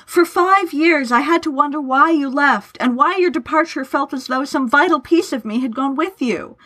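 Woman voicing an impassioned, hurt man, pitched up. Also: brisk, close to an everyday voice. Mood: anguished, pleading. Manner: formal, old-fashioned phrasing. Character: Lawrence, hurt, earnest, passionately pleading man.

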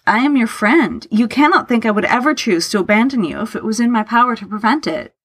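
A woman's voice: fairly high pitch, lively intonation, brisk, breathy edge, pitched above the performer's own voice. A woman voicing an earnest, hurt man who pleads passionately in formal, old-fashioned phrasing, as in an audio story. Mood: hurt, accusing.

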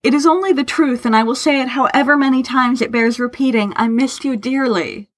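A woman's voice: high voice, brisk, voice pushed up. A woman voicing an earnest, hurt man who pleads passionately in formal, old-fashioned phrasing, as in an audio story. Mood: earnest, insistent.

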